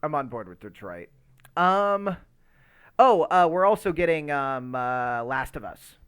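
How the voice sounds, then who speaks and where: A man's voice: fairly high pitch, expressive, unhurried, fairly bright tone, smooth. A man co-hosting a podcast in a casual discussion, talking in a rambling, hesitant way with lots of filler words. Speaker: podcast co-host.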